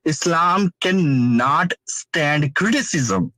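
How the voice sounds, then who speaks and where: A man's voice: on the high side, expressive, extremely slow, pushed and bright, dry recording. Hesitant Urdu-speaking man on a dry call. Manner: hesitant, many fillers, earnest. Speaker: caller, guest. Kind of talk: live stream call conversation.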